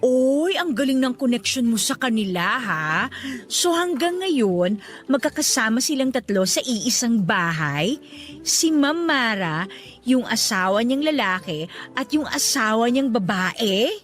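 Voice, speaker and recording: A woman playing an excitable young coworker hungry for gossip in a radio drama, eager and squealing, with music underneath. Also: a little high, a little slow. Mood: excited.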